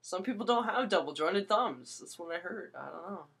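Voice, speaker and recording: A young woman with a low voice, rambling hesitantly through a casual video monologue with many fillers and restarts. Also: expressive, normal speed, vocal fry, fairly bright tone, a little hiss or hum. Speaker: vlogger.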